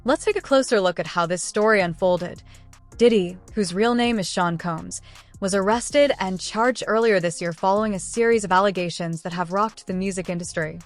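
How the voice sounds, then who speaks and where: A woman's voice: mid-range voice, moderate pace, soft background music. A woman reading scripted news narration in a dramatic style.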